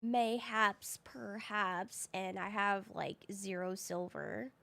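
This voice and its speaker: A woman's voice: mid-range voice, slow, creaky, pushed and bright. A woman live streaming a game in casual, slangy chat, her voice a little groggy from having recently woken up. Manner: slangy, informal.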